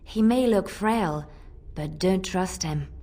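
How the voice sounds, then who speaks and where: A woman's voice: fairly low pitch, slow, mellow. An actress playing a wary woman soldier who warns against trusting a mage, in a fantasy game.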